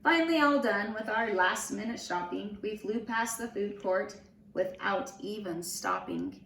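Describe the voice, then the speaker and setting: Woman reading a kids picture book, slow and animated, echoey room w faint hiss. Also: medium pitch. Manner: slow, animated storytelling with acted voices. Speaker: storybook reader. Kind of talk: expressive children's read-aloud. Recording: roomy, distant mic with faint noise.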